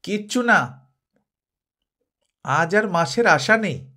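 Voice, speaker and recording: A river fisherman from a storybook, voiced by a man. He hollers across the water in a raised, carrying shout, pitched well up. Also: animated, heavily acted. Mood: calling out. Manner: shouting, drawn-out calling. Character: fishermen calling out across open river at night.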